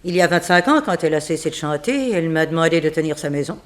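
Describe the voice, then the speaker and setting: An actress playing a composed, proper housekeeper of seventy in a French radio drama, matter-of-fact and formal. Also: female voice, low-pitched, expressive, quick pace. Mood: composed, informative. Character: composed seventy-year-old female housekeeper, frank and proper.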